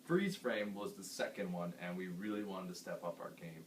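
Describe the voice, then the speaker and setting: A man who sings in a band, with a deep voice, chatting casually and earnestly about writing a song. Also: moderate pace. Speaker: band singer. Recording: echoey room, distant mic, faint hiss.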